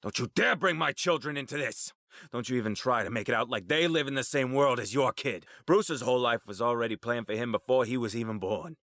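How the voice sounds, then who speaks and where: A man's voice: mid-range voice, brisk, a bit bright, natural voice. A man playing a proud, menacing crime boss in an audio drama, indignant and confrontational. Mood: indignant anger.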